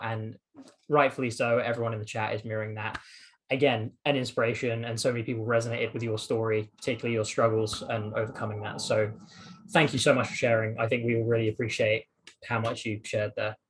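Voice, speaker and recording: A man hosts a panel discussion in a relaxed, conversational way. He sounds upbeat as he thanks the previous speaker and hands over to the next. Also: mid-range voice, slight background noise. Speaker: host. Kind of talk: casual panel hosting.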